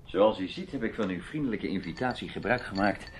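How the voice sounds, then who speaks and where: A man's voice: fairly low pitch, lively intonation, brisk, heavy background noise, natural voice. A man playing a gallant, self-assured foreign correspondent in a Dutch radio play, with a jovial, theatrical way of talking. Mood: gallant, smooth.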